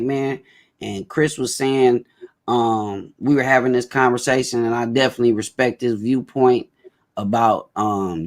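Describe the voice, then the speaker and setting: A man hosting a casual talk show, speaking emphatically and often repeating his points. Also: mid-range voice. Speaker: host.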